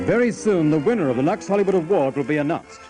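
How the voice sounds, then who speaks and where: A man's voice: a little high, expressive, quick pace. A man announcing formally for a 1950s radio theatre broadcast. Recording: vintage radio broadcast with music.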